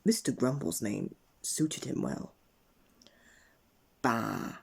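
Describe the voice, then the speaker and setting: A man narrating a children's bedtime story in an expressive read-aloud manner. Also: medium pitch, unhurried, creaky, breathy edge. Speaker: storybook reader.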